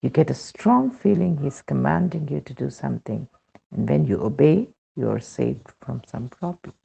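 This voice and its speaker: A very husky, heavily creaky male voice with an Indian accent, reading a scripted testimonial. The delivery is measured and deliberate, with a dark, mellow tone. It sits in a slightly muffled, lo-fi recording. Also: medium pitch, animated. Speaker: reader of devotional testimonials. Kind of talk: scripted narration, reading a first-person testimonial aloud.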